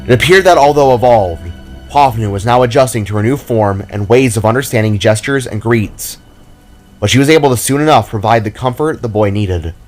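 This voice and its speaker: A man reading scripted fantasy narration in a measured, dramatic storytelling manner. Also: mid-range voice, animated, darkish tone, faint music behind.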